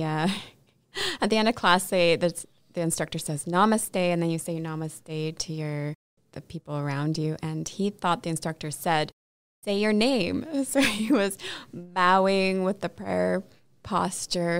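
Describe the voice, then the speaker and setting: A woman hosting a podcast, chatting casually in a playful, teasing way that is quick to laugh. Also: on the low side, smooth, dry recording. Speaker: podcast host. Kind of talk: casual chat.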